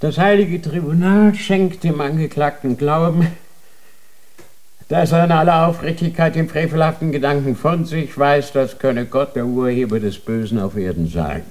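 A man's voice: fairly high pitch, normal speed, a little mellow, close to an everyday voice. An actor playing a stern male inquisitor of a holy tribunal, interrogating in a cold, formal manner in a radio drama.

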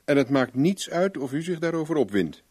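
An actor playing a shrewd, self-assured private investigator in a Dutch radio play, probing in a blunt, wry, plain-spoken way. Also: male voice, a little high, lively intonation, a little fast, a little mellow, slightly rough. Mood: firm, matter-of-fact.

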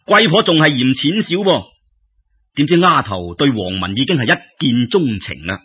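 Raspy guy telling old folk stories, really animated and chatty, slightly muffled recording. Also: mid-range voice, moderate pace. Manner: expressive, conversational, addresses listeners directly. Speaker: storyteller. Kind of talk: dramatic oral storytelling of folk tales.

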